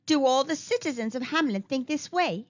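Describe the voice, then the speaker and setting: A woman doing a calm stranger piper. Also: high-pitched, brisk. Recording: dull lo-fi recording.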